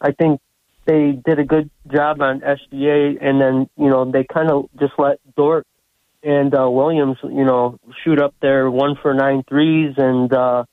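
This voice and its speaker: A tinny, dry phone line carries a man calling into a sports show. His pitch moves very little. He speaks casually and haltingly, leaning on filler phrases. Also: flat delivery, no echo. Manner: hesitant, says "you know" constantly. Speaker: caller to a sports radio show. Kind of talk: casual basketball breakdown. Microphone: phone call.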